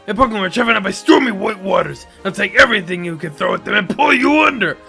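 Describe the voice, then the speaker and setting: A man voicing a boisterous, proud wrestler from a video game, booming and showboating. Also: animated, quick pace, creaky, pushed and bright, soft background music, exaggerated voice. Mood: boastful, pumped. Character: boisterous, showy masked male wrestler, proud of his strength. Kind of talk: video game character reel.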